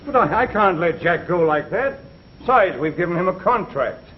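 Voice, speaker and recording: Polite executive, high animated voice. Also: male voice, normal speed. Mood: doubtful. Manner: courteous, echoes the other's words. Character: polite, doubtful male company executive, easily amused. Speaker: straight man. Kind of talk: 1950s broadcast comedy sketch acting. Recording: muffled 1950s recording, studio audience, noise.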